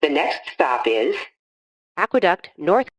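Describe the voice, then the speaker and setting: A woman reading scripted automated subway announcements in a formal, measured, phrase-by-phrase manner. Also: deep voice, sing-song.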